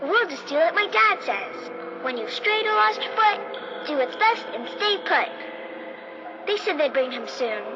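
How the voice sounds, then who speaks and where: A woman's voice: very high-pitched, sing-song, a little slow, music in the background, heavily acted. A woman playing an innocent, strangely eerie little girl with a childlike manner in a vintage radio drama. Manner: childlike, singsong, naive. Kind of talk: 1950s radio drama acting. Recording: muffled vintage radio sound, clear noise bed.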